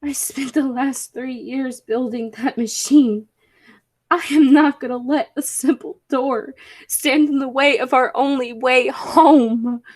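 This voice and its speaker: A woman's voice: high-pitched, fairly even intonation, takes it slowly, darkish tone. A woman playing a proud, driven inventor and time traveler in a 1940s-style radio play, speaking in formal, theatrical phrases. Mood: frantic, angry.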